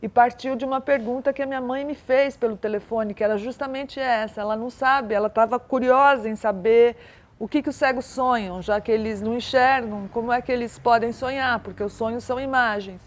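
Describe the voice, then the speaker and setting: Older brazilian woman, slow thoughtful answers, slightly high voice. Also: fairly even intonation. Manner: thoughtful, measured, unhurried. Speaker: writer guest. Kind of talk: reflective interview answer.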